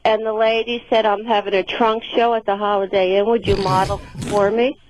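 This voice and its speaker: A woman in her fifties from New Jersey, calling in to a radio talk show and bragging loudly about her looks. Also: mid-range voice, flat delivery, fairly quick. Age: 50s. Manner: boastful, brash, insistent. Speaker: caller. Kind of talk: radio call-in chat. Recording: muffled phone-in audio.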